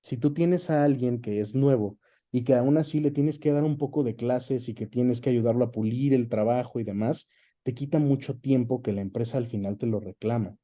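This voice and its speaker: A man's voice: mid-range voice, moderate pace, warm tone. A man with a Mexican accent in a conversational interview as the guest, explaining things colloquially with examples and frequent tag questions. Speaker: interview guest, dubbing director.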